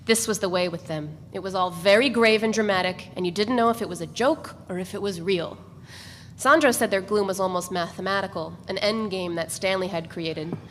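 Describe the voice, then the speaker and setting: A woman's voice: medium pitch, a little fast, a bit bright. A woman narrating a literary novel in a scripted dramatic reading. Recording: reverberant hall with background noise.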